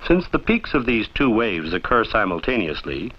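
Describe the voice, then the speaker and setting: A low male voice narrates an instructional film with lively, varied intonation. He speaks formally and with measured, didactic phrasing. The sound is that of an old training film, muffled and lacking detail.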